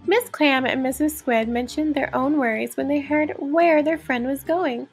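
A woman reading a children's storybook aloud as a storyteller, with expressive, careful delivery. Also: high-pitched, normal speed, faint music behind.

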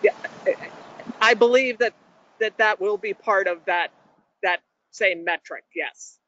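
A woman's voice: mid-range voice, takes it slowly, fairly bright tone. A woman moderating an online meeting and answering questions. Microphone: video call. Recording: dull lo-fi video-call audio.

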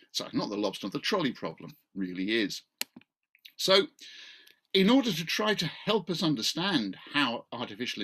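A man's voice: mid-range voice, fairly bright tone. A middle-aged man, speaking informally and wryly as he introduces an online talk from a video call. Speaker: event chair, law professor. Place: small book-lined room at home, indoors. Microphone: video call, computer microphone.

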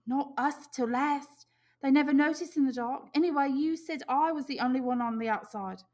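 A woman voicing an anxious, stubborn boy in an audiobook, shrill, excited and insistent. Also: moderate pace, lightly acted. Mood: insistent, defensive. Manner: excited, insistent, ungrammatical schoolboy talk.

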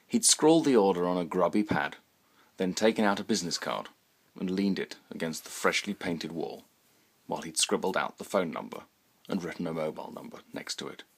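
A man's voice: low-pitched, lively intonation, fairly quick, vocal fry, breathy edge. A middle-aged man with a British accent reading his own short story as scripted narration, close to the mic indoors.

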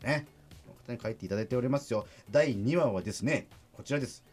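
A man's voice: medium pitch, fast, darkish tone, soft background music. A man hosting a radio show, chatting and announcing segments. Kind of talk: lively chat and announcing.

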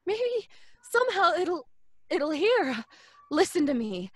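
Anguished, high-voiced young woman, radio horror. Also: very animated, takes it slowly, dry recording, clean studio sound, full character voice. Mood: desperate pleading. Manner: dramatic, pleading, tearful. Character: anguished guilt-ridden young woman, self-sacrificing, darkly resolute. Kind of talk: old-time radio horror acting. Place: home recording, live remote video call.

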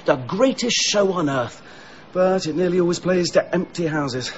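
Radio drama role: genial, dreamy husband, higher-set animated voice, slightly dull sound. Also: male voice, moderate pace, a little hiss or hum. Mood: wistful. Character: genial, romantic husband, parish council chair.

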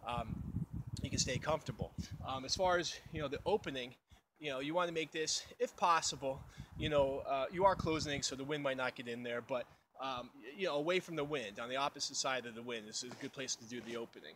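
A man's voice: medium pitch, brisk, a bit bright, a little hiss or hum. A young man explaining outdoor survival in a casual, rambling way with many fillers, recorded outdoors in snowy woods on a camera mic a few meters away. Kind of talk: unscripted how-to explanation. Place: outdoors in snowy woods beside a snow cave.

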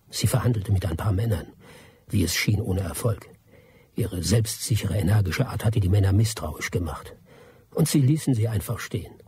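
World-weary middle-aged trader in a radio drama, really raspy low voice, dry and sceptical. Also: male voice, mellow. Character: world-weary middle-aged English expatriate typewriter dealer, gruff, sceptical. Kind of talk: radio play narration and dialogue.

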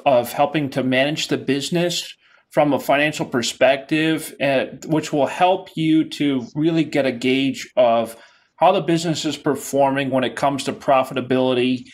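A middle-aged man teaching a class over a video call, encouraging and repeating his words as he talks. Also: mid-range voice. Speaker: instructor. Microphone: video call webcam.

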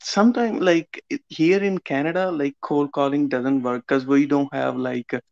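A hesitant man with an Indian accent, stumbling over fillers as he talks on a video call. His level voice has little pitch movement. It comes through a dull, dry laptop microphone. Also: steady tone, normal speed, creaky, darkish tone, no room sound. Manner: hesitant, many uh fillers, apologetic. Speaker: coaching student. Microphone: laptop webcam mic on a video call.

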